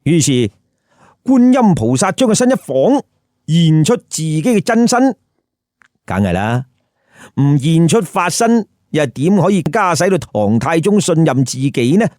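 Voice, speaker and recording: A man's voice: a little high, big pitch swings, measured pace, a little mellow, no room sound, clean studio sound. A man telling a comic story in a theatrical, emphatic way with rhetorical questions. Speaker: storyteller, host. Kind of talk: lively comic Cantonese storytelling.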